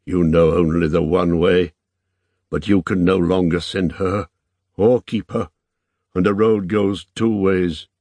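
A man voicing a sad, solemn old lord in a slow, grave, measured manner for a story. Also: low-pitched, fairly even intonation, a little mellow, no echo.

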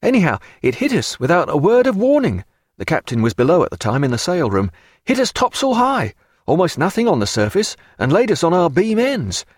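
An eager young naval officer played by a male narrator, pitched well up with lively swings. He is brisk and earnest, an audiobook character of the sailing age, heard very close and dry. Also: slightly rough, no room sound, heavily acted.

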